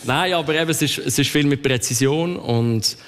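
A man with a Swiss German accent, a circus juggler, speaking calmly and modestly in an interview. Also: fairly high pitch, moderate pace, big-room sound. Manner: calm, modest, thoughtful. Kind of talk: interview chat.

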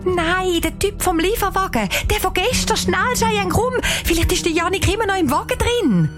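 A woman voicing an anxious, excitable young girl in a children's audio story. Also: high-pitched, drawn-out words, over music, pitched above the performer's own voice. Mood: excited urgent.

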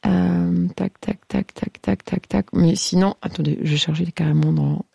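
A woman's voice: on the low side, fairly even intonation, normal speed, vocal fry, mellow, husky, no echo, studio quality. A woman hosting a late-night radio phone-in, chatting casually with a caller and laughing often as she stumbles over song titles. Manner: playful, laughs often, hesitant reading of titles.

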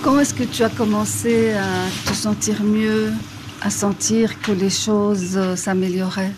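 A woman interviewer asks questions in a conversational way. Her voice is medium in pitch, with faint ambient noise behind it. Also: fairly even intonation, unhurried.

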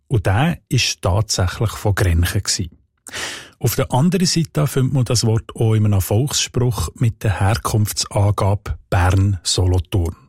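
Swiss german guy, dialect expert, lowish husky voice, dry close sound, calm and precise. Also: dry recording. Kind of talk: explanatory radio segment.

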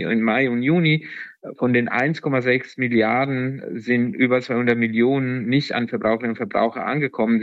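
A man's voice: mid-range voice, vocal fry, dry recording, muffled recording. A man from Italy with an Italian accent, a non-native speaker, answering interview questions as an economist guest, hesitant and full of filler sounds.

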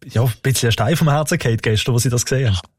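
Radio reporter asking probing questions. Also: male voice, mid-range voice, rapid-fire, husky, dry recording, clean studio sound.

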